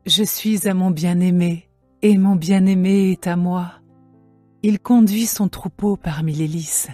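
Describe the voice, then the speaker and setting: A woman playing a lovesick young woman longing for her beloved, in a measured, poetic dramatized scripture reading. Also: on the low side, fairly even intonation, mellow, no room sound, faint music behind, lightly acted. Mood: adoring.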